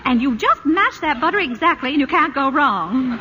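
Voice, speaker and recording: An actress playing a fussy, witty suburban wife and mother in a 1940s radio play, precise with her instructions and quick to laugh. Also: high voice, animated, bright, forceful tone, lo-fi sound. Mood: particular. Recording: hissy old radio broadcast.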